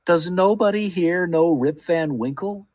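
A bewildered old wanderer, voiced by a male reader, pleading and humble. His voice rides high above the narration, slightly husky. Dry, close recording. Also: big pitch swings, measured pace, dry recording, full character voice. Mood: desperate. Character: bewildered poor old man, humble and dismayed.

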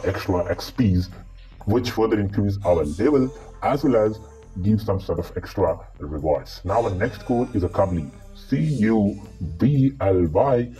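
A man with a pitched-down monster voice filter, walking through game codes and spelling each one letter by letter. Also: dark tone, low-quality recording. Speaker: gaming channel host. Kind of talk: mobile game code tutorial. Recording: voice-over with faint guitar music.

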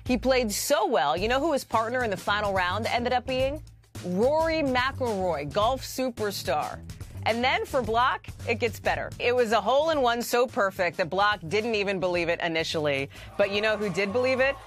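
An American woman in her thirties presenting lively, conversational TV news narration with emphatic delivery, in a studio. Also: fairly high pitch, normal speed. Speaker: news anchor. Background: music.

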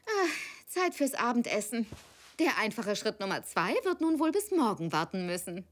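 A woman voicing a cheerful, caring grown-up female zebra, expressive and emphatic, in a German-dubbed children's cartoon. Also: on the high side, moderate pace.

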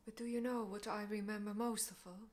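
A woman performing a stage monologue as a grieving, bitter mother confronting her ex-husband, speaking in deliberate, emotionally intense phrases. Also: medium pitch, little pitch movement, a little mellow, lightly acted. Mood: hushed, aching.

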